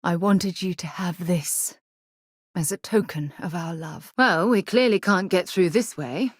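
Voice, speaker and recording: A woman voice-acting a composed, principled space explorer and former military leader in a video game, speaking in an earnest, articulate, measured way. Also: on the low side, breathy edge, dry recording, clean studio sound. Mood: tender, vulnerable.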